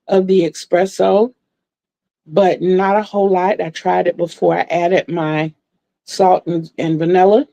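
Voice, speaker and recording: Lady in her 60s just chatting on her stream, slow and mellow, dry sound. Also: lowish voice, creaky, no echo. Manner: chatty, laughs often, repeats words. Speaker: livestream vlogger. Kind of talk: casual chat to viewers. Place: home room lit by a floor lamp.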